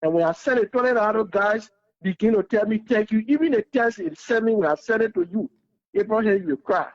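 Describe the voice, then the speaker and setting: A man's voice: sits high, lively intonation, a little fast. A man with a Liberian English accent calling in to a talk show, speaking earnestly and often repeating words as he searches for them. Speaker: caller. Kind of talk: emotional call-in testimony. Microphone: phone call. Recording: dull phone line.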